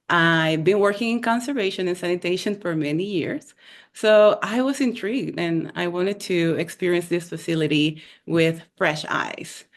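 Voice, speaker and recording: A woman from Honduras telling a personal story over a video call, in an unhurried way with small fillers. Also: lowish voice.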